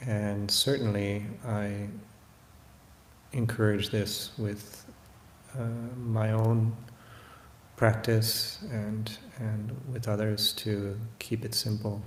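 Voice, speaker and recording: A man teaches meditation in a fairly low, very level voice. He takes his time, hesitating and pausing as he reflects. The room adds a clear echo around his words. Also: little pitch movement. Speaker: meditation teacher, Buddhist monk. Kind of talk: guided meditation talk. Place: room in a monastery. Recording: roomy echo, faint background noise.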